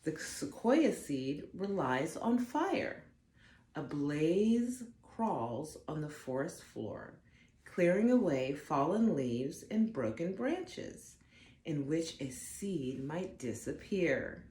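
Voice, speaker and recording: A woman reading a children's picture book aloud in an expressive, deliberate way, phrasing carefully for young listeners. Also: deep voice. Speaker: storybook reader for children. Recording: roomy echo, faint background noise.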